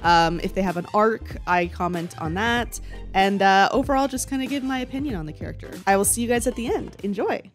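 A woman's voice: mid-range voice, a little fast. Young woman, stuffed-up nose, casual gaming commentary, close mic, music bed underneath. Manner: chatty, frank, laughs often. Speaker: video game commentator. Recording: game music playing under voice. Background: game music underneath.

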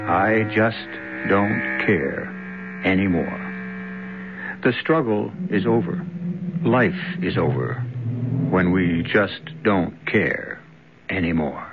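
A man hosting a 1970s radio mystery, narrating in a solemn, deliberate way. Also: fairly low pitch. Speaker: host and narrator. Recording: dull radio recording, loud mains hum.

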